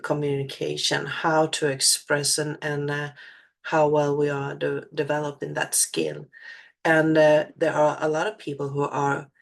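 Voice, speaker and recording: A low-pitched woman with a Swedish accent talks slowly in a reflective solo monologue. English is her second language, and she pauses, repeats and searches for the right words as she goes. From Sweden. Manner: searching for words, many restarts and fillers. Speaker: spiritual channeler.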